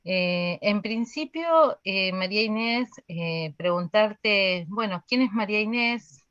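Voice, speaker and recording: Female interviewer, Argentine accent. Unhurried, halting delivery, lo-fi and close sound. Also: mid-range voice, lively intonation, a bit bright, dry recording. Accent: Argentine Rioplatense. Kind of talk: interview introduction. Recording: dull, lo-fi audio.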